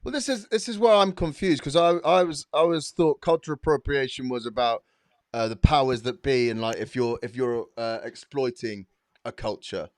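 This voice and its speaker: A man's voice: mid-range voice, normal speed, no echo, studio quality. A man co-hosting a podcast, hesitant and jokey, with many fillers. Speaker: podcast co-host. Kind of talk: casual chat.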